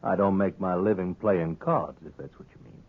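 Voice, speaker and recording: An actor playing a sly, brash saloon-owning gambler who needles others in a Western American accent, in a 1950s radio western. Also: male voice, sits low, normal speed, phone-quality sound. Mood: smug, easygoing. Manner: cocky, needling, talkative. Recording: thin old radio broadcast recording.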